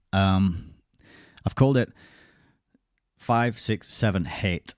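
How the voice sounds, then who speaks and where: A man's voice: deep voice, a little mellow, dry recording. A man hosting his own live-streamed commentary show, talking in a rambling, conversational way with wry asides, close to a desk microphone.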